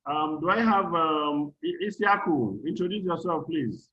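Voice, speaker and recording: A man's voice: on the high side, lively intonation, a little slow, bright, forceful tone. Nigerian man hosting a lo-fi online meeting. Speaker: workshop host calling on participants. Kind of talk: informal online meeting moderation. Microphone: video call. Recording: dull lo-fi online call audio.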